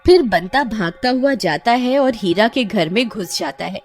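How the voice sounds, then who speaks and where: Lively woman narrator over soft music. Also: a little high, normal speed. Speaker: story narrator. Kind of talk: dramatic scripted children's horror story narration.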